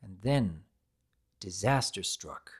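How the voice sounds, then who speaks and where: Story narrator, dramatic reading, very animated intonation, deliberate expressive delivery. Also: low voice, husky.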